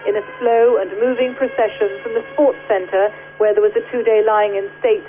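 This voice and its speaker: A woman foreign correspondent filing a sober, measured news report. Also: mid-range voice, steady tone. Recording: phone-line quality with faint music.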